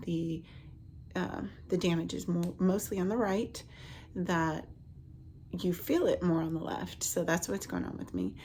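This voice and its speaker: Casting: woman, late 30s, casual vlogger, scratchy end-of-cold voice with gravelly fry, some background noise. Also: lowish voice, darkish tone. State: getting over a cold with a lingering cough. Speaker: vlogger, stay-at-home mother. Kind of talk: casual personal health storytelling to camera.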